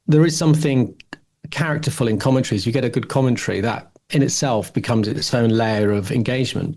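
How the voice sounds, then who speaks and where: A man in middle age chats as a podcast co-host. He talks in a casual, reflective way, wandering through his thoughts as he goes. His voice sits at an ordinary pitch for a man. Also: creaky, darkish tone. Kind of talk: casual discussion.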